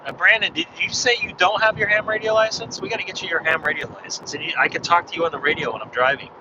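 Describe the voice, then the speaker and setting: A man slightly hoarse from losing his voice, chatting in a rambling way while driving. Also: high voice, fast talker. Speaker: ham radio vlogger. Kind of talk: casual chat while driving. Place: inside a car on a freeway. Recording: dull muffled car recording with road noise. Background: road and engine noise.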